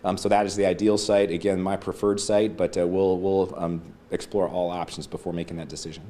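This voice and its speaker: Low-voiced, quick-talking man being interviewed. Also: little pitch movement, dark tone, a little hiss or hum. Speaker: airport official being interviewed. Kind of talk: explanatory interview answers.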